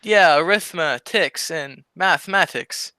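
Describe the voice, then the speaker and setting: A man's voice: a little high, measured pace, pushed and bright. A man playing a silly, dim-witted wisecracking sidekick in a 1940s-style radio comedy. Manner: silly, cheeky wordplay.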